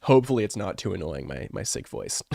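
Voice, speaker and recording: A man's voice: low-pitched, sing-song, fast talker, darkish tone, no echo, clean studio sound. Congested man with a cold. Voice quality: rough, wrecked voice. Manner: rambling and chatty, with many fillers. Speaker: artist and streamer. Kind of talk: casual live-stream chat.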